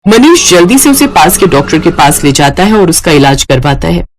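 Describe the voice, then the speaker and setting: Storyteller with lively, swinging intonation. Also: mid-range voice, normal speed. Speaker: narrator of a moral story cartoon. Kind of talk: scripted storybook narration.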